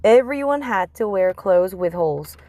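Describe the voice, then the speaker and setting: A woman's voice: mid-range voice, expressive, normal speed, warm tone, no echo. A woman narrating a children's chapter book as a read-aloud. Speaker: storybook reader.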